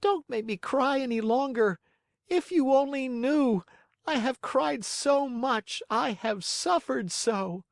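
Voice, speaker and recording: A man voicing a whiny, eager marionette boy in a storybook, with a high childlike voice and pleading, dramatic delivery. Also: lively intonation, slow pace, gravelly, no room sound, clean studio sound, heavily acted. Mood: tearful, overwrought. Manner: pleading, emphatic, exclaiming. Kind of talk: audiobook character acting.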